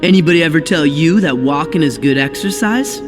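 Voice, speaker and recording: A man's voice: on the high side, expressive, brisk, close to an everyday voice. An actor playing a cocky, grumpy, streetwise teenage tough guy in a video game, with a blunt, sarcastic delivery. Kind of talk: video game cutscene acting. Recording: loud music over the voice.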